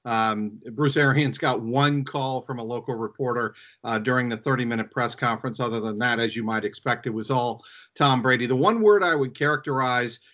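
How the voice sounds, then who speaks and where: A man giving unscripted sports analysis as a reporter, in halting phrases with frequent pauses. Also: medium pitch, a bit bright.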